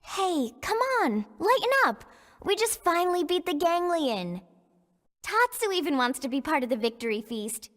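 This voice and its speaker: A perky, teasing young sidekick in a video game. Her voice is very high, bright and lively, with a casual playful bounce and a long reverb around it. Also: normal speed. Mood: cheery. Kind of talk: animated video game acting. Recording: reverberant hall sound.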